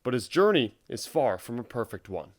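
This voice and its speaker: A man's voice: mid-range voice, big pitch swings, normal speed, no echo, studio quality. A young man reading scripted narration for a TV sports feature as a reporter. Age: young adult.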